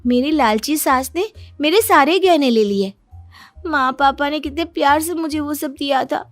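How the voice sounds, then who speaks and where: A woman's voice: high-pitched, normal speed, dry recording, soft background music, natural voice. A woman playing a young new daughter-in-law in a cartoon story. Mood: bitter, complaining.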